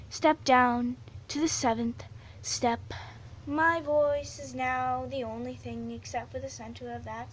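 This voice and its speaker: A woman guiding a hypnosis induction, slow and soothing, repeating suggestions over and over. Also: high voice.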